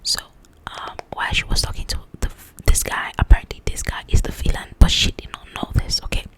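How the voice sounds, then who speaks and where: A woman whispering in an ASMR style as she casually chats about a book in a rambling, animated, informal way. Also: a little fast. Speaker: ASMR creator reviewing a book.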